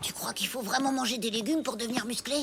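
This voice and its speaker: A woman's voice: fairly high pitch, quick pace, lightly acted. A woman voicing a naive, eager schoolboy sidekick with a credulous manner in a French cartoon. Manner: credulous, excitable. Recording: prominent music behind the voice.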